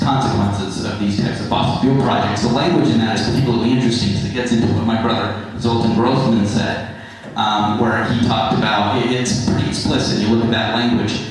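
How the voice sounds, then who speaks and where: This is an American man speaking at a public hearing in a very reverberant hall. His voice sits a little low. He talks in a halting, earnest, rambling way, without a script. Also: a little hiss or hum. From eastern Utah, United States. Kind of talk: unscripted public testimony. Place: large indoor hearing hall. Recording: very echoey hall, public address sound.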